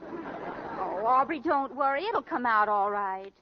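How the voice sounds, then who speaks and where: An actress playing a devoted, worried, forgiving wife, soothing and earnest, in an old radio drama. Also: female voice, fairly high pitch, expressive, slow, some chatter behind. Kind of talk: old-time radio acting. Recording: dull, noisy old radio broadcast.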